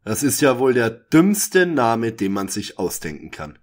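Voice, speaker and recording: A man's voice: mid-range voice, sing-song, a little slow. An actor playing a fussy, peevish boy detective researcher with a prim manner in a parody audio drama. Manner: prim, complaining.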